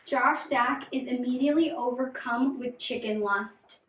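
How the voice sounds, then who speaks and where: Brief: woman skit narrator, high voice, roomy. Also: a little slow, a bit bright, slight background noise. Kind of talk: scripted narration. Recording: echoey room.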